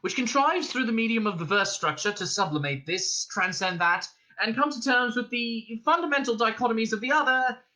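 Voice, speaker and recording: A man acts out a nervous, flattering bluffer in a comic audiobook. The voice is high and swoops about, halting and overeager as it gropes for words. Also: breathy edge, heavily acted, poor audio. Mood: straining, triumphant.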